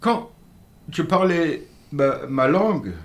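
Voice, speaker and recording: An older man, a native dialect speaker, telling village history in a radio interview in an earnest, measured way. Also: on the high side, animated. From Moselle, France. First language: Alsatian-Lorraine Franconian dialect. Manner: earnest, measured storyteller with halting restarts. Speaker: interview guest. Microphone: studio microphone.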